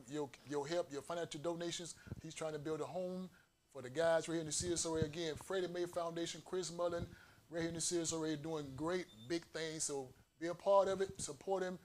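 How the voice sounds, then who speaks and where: A man with a fairly high voice hosts a casual live talk show. He speaks in an upbeat, encouraging way and repeats his phrases for emphasis. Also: a little hiss or hum. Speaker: host.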